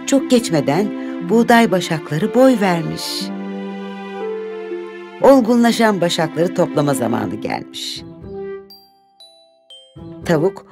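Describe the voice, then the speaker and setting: A woman narrates a children's fairy tale from a script. Her voice is low and animated in its melody, with loud music playing behind her.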